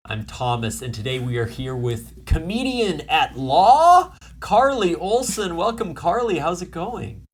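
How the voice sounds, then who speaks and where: A man's voice: fairly high pitch, sing-song, measured pace, few pauses, slightly rough. A man co-hosting a podcast, trading dry, teasing quips in casual comedy banter. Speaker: podcast co-host.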